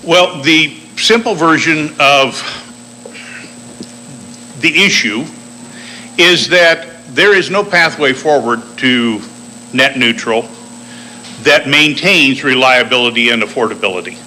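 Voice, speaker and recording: Bright-voiced man giving a campaign speech. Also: mid-range voice, animated, slight background noise, poor audio. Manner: measured, lists achievements point by point. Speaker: political candidate. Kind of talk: campaign speech at a public forum. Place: bakery cafe forum room.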